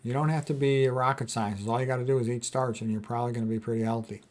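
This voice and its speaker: A man's voice: mid-range voice, fairly even intonation, rapid-fire, a little mellow. Folksy man explaining health quotes. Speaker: health educator presenting book quotes. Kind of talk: informal explainer, reading quotes aloud and commenting.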